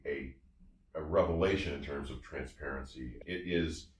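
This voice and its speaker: Guy geeking out about audio gear, emphatic, voice swings up and down a lot, echoey room. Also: sits low. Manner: emphatic, effusive, repeats phrases. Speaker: audio reviewer and show host. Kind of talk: casual enthusiastic product discussion. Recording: roomy, somewhat distant from the mic.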